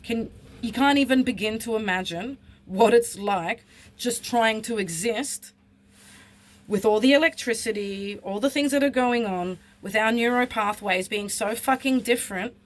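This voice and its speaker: A woman's voice: a little high, moderate pace. A woman, talking bluntly and with frustration in a personal vlog. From Australia. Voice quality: husky. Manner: blunt, frustrated, swears freely. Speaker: vlogger.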